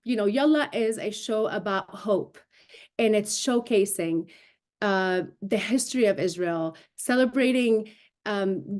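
A woman's voice: a little high, a little mellow. Articulate Syrian-raised woman, native Arabic speaker. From Syria, raised in Damascus. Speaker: interview guest, broadcast journalist and show host. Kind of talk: remote news interview, explanatory talk. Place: indoor room, video call. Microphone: video call.

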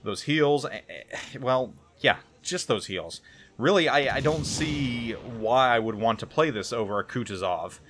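A man's voice: medium pitch, animated, measured pace, slight background noise. A man giving casual gameplay commentary as a game reviewer, rambling and hesitant, often repeating words.

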